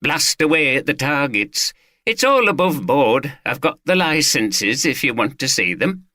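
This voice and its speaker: A man's voice: mid-range voice, sing-song, unhurried, bright, forceful tone, no echo, studio quality, voice pushed up. A man voicing a friendly middle-aged gun collector, chatty and proud. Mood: eager.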